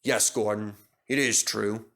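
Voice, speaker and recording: A man playing a kindly, authoritative gentleman who runs a railway, reassuring in manner, in a children's story. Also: fairly low pitch, fairly even intonation, unhurried. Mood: kindly, thoughtful.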